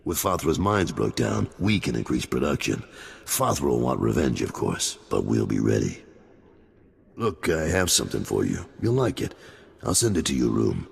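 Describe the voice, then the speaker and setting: An actor playing a gruff older colonel in a video game cut-scene, with a raspy, gravelly voice and blunt, drawling orders. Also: male voice, very deep voice, big pitch swings, moderate pace, heavily acted. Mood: gruff praise. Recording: long hall reverb.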